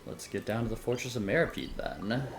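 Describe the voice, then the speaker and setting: A woman's voice: extremely low pitch, expressive, fairly quick, creaky. A woman streaming a video game, making casual, chatty asides as she plays. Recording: game music over the voice.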